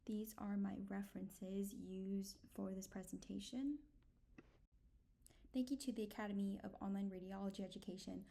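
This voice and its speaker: A young adult woman giving a scripted academic medical presentation in a measured, explanatory way. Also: mid-range voice, steady tone, vocal fry, dark tone, slight background noise.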